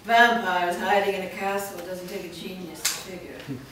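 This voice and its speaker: A woman's voice: on the low side, fairly even intonation, normal speed, lightly acted. A woman playing a proud, menacing master vampire in a staged play reading, defiant and dismissive. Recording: echoey room, far mic, faint noise.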